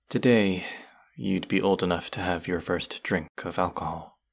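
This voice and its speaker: A man playing a bitter, menacing fantasy villain in an audio roleplay, with a gravelly, husky voice and a sardonic edge. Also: deep voice, heavily acted. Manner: menacing, sardonic, slow and deliberate. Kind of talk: dramatic audio roleplay acting addressed to the listener.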